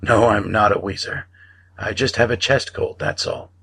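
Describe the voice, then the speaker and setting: Gentle, reassuring man under the weather, played by a male reader, lowish voice, kindly audiobook character. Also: moderate pace, vocal fry, breathy edge, full character voice. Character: kindly, ailing man with a chest cold.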